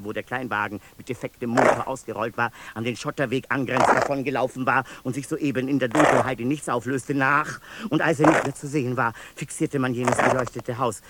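A man's voice: a little high, close to an everyday voice. An actor playing a male asylum patient who narrates his story in long, winding sentences that keep circling back, in a 1990s radio play. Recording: loud hiss on an old radio tape.

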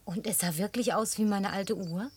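A woman's voice: medium pitch, moderate pace, natural voice. An actress in a German radio play, voicing an inquisitive, suspicious, solitary woman with a probing way of talking. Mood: probing, guarded. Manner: probing, questioning.

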